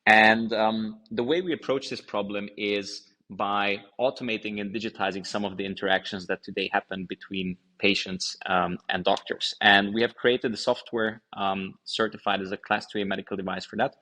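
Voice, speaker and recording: A man's voice: fairly low pitch, steady tone, normal speed, hardly any pauses, bright, forceful tone. A man in his thirties from Hungary, a company founder answering interview questions in an explanatory way.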